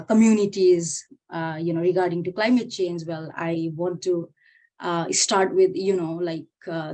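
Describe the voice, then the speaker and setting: A woman's voice: on the low side, a little mellow. A young woman from Nepal in her twenties, speaking as a panelist in a discussion, hesitant and full of "you know" fillers. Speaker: panelist, youth activist.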